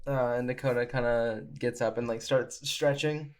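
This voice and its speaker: A man's voice: medium pitch, fairly even intonation, normal speed, darkish tone. A man playing a tabletop roleplaying game, narrating his character's actions in a drowsy, sardonic way. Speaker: player.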